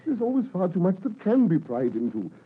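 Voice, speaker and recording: An actor playing an anxious, flustered provincial official in a vintage radio comedy, speaking fretfully and emphatically. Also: male voice, sits high, big pitch swings, fairly quick, husky, heavily acted. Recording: muffled vintage radio recording.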